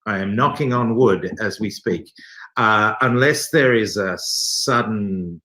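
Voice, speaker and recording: Low-pitched man with a bright, crisp edge. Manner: thoughtful, halting, wry self-deprecating humour. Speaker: theatre company director being interviewed. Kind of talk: casual interview talk.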